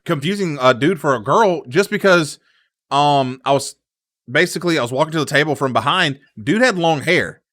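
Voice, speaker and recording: A young man chats casually on a podcast, wandering through his stories with plenty of fillers and restarts. His tone is bright and forward. The sound is dry and close to the mic. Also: fairly high pitch, moderate pace, no room sound. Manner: rambling storyteller, many fillers and restarts. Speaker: podcast co-host. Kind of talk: casual chat.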